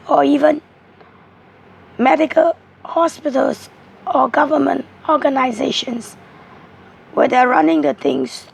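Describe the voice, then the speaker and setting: A woman with a hoarse, strained voice slowly explaining tarot cards. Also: sits high, darkish tone, slight background noise. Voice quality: rough, strained voice. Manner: slow, halting, repetitive explaining. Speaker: tarot card reader.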